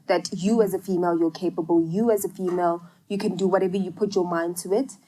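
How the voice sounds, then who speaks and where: A young woman co-hosting a casual podcast, talking with a cold, chatty and filling pauses with lots of ums and likes. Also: on the low side, fairly even intonation, dark tone. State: has a cold. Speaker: co-host.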